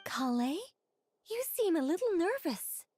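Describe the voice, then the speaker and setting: A playful angel from a video game, speaks in a high, lively voice. She sounds coy and airy, thoroughly pleased with herself. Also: measured pace, slight background noise, full character voice. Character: playful, self-assured female angel.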